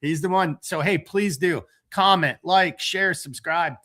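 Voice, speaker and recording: A man hosting a casual late-night talk show, rambling and animated, stopping to tell dad jokes. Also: high-pitched, unhurried. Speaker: podcast host.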